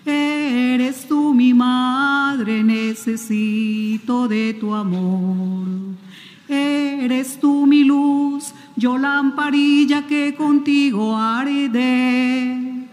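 High-voiced woman singing a slow hymn. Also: fairly even intonation, darkish tone, long reverb tail, faint music behind. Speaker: cantor.

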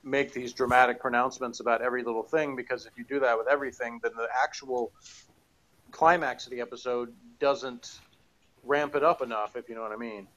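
A man's voice: medium pitch, fairly even intonation, fairly quick, a bit bright, highs cut off. Rambling man chatting at his desk. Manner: rambling and hesitant, many fillers. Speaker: podcast co-host reviewing audio dramas. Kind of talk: casual chat. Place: home office with bookshelves, indoors.

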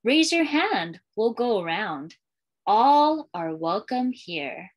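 A woman's voice: medium pitch, very animated, slow pace. A woman in her thirties, a school librarian, reading a picture book aloud to young children in a sing-song storytelling way. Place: small indoor room with bookshelves and curtain.

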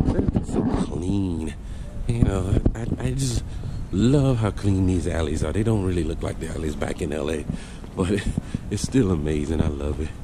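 A middle-aged man hosting a casual walking vlog, talking to a camera held at arm's length with an easygoing, chatty manner and a stuffy, congested voice from a cold. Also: very deep voice. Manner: easygoing, chatty, frequent fillers. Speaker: host. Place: outdoors at a busy street market. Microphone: action camera held at arm's length. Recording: loud street noise.

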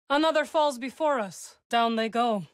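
A woman's voice: on the high side, a little slow, no room sound, studio quality, close to an everyday voice. An actress voicing a proud young witch warrior in a video game, speaking in short, formal, commanding lines. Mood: satisfied, dry. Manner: formal, clipped, commanding phrasing. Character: fierce young witch warrior, proud, dry, loyal ally.

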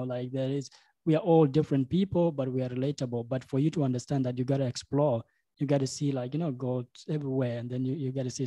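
A young man hosting a podcast interview, halting and full of fillers like "you know.". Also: mid-range voice, warm tone, no room sound. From South Sudan. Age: young adult. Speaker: podcast host. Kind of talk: casual interview. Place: home studio room. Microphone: studio microphone with headphones.

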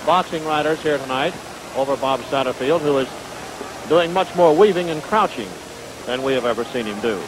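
A man's voice: mid-range voice, moderate pace, background noise. An American man calling boxing in the style of 1950s live television, on a vintage broadcast recording. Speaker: boxing commentator. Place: ringside at an indoor stadium.

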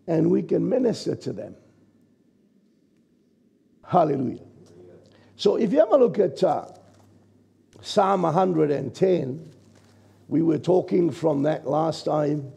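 A man in his sixties, a pastor from New Zealand, preaching a sermon from a church stage with a croaky, gravelly voice and a conversational manner. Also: on the high side, mellow. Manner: conversational preaching, checks in with the congregation. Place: church stage, large indoor hall. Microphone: stage mic at a lectern, far camera.

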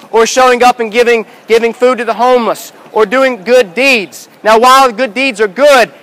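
A man in his thirties preaching outdoors in a busy city square, shouting with emphatic, repetitive rhetorical questions. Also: very high voice, poor audio. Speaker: street preacher. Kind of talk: open-air sermon. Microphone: far handheld camera, no mic.

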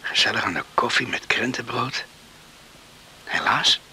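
A man playing a teasing young brother at bedtime in a radio play, speaking in a hushed voice. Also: mid-range voice, a little slow, breathy edge, heavily acted. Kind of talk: Dutch radio play acting.

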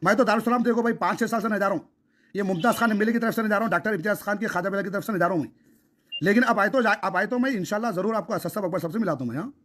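A man with a Hyderabadi accent speaks in a high voice at a rapid pace. He is emphatic and insistent, repeating phrases as he persuades someone over a recorded phone call. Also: a bit bright. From Hyderabad, India. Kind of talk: phone conversation, impassioned persuading.

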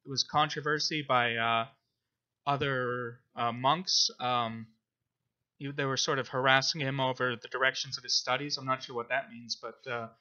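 A man co-hosting an informal book podcast, speaking haltingly with very frequent ums and uhs. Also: medium pitch, fairly even intonation, bright, forceful tone, muffled recording. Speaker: podcast co-host.

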